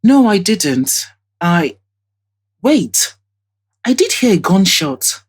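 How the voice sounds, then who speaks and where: A woman's voice: medium pitch, very animated, takes it slowly, breathy edge, full character voice. A woman voicing a chatty, scornful female witness in an audio drama, telling things emphatically with indignant asides. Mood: earnest, recalling.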